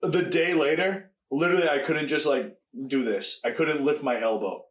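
A young man co-hosting a podcast, telling stories in casual chat, quick and animated and swearing freely. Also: on the high side. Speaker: podcast co-host. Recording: echoey room.

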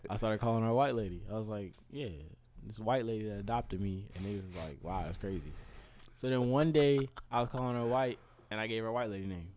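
A man's voice: on the low side, fast, darkish tone, a little hiss or hum. A young man telling stories and joking animatedly as a podcast co-host. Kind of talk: casual storytelling banter.

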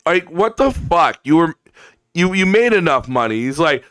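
A man heckles and mocks a clip with sarcastic asides. His voice is smooth and clear, and it is recorded dry and close, with no room sound. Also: on the high side, big pitch swings, moderate pace, a bit bright, dry recording. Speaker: commentator reacting to a clip. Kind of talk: mocking reaction commentary.

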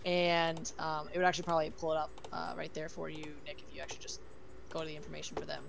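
A man's voice: on the high side, completely flat delivery, quick pace, gravelly, noisy background. A man co-hosting a casual sports podcast, talking with many ums and restarts. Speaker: podcast co-host.

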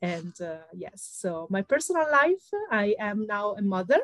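A woman in her thirties with an Italian accent, answering interview questions thoughtfully with frequent pauses. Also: medium pitch, sing-song, fairly bright tone. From Italy. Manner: thoughtful, many "uh" pauses, light laughs. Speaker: guest, pre-sales consultant.

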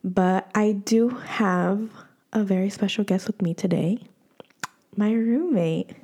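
Chatty woman podcast host with a mellow, warm voice and loose, filler-heavy talk. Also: medium pitch, slow. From United States. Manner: chatty, many fillers and restarts. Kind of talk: casual podcast chat.

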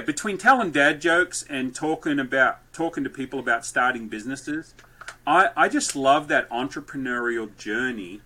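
A loud, talkative man with a bright, forceful tone. He rambles through stories and tosses in dad jokes as he goes. His pitch sits in an ordinary middle range. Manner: loud, joke-telling, rambling. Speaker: podcast guest, entrepreneur. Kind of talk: lively conversational storytelling.

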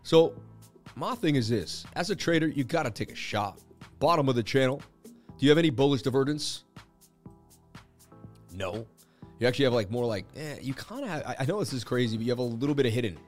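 Hyped male livestream host, hoarse voice. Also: mid-range voice, lively intonation, brisk, long pauses, soft background music. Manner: hyped, boastful, laughing banter with chat. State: losing his voice. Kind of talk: casual livestream market commentary.

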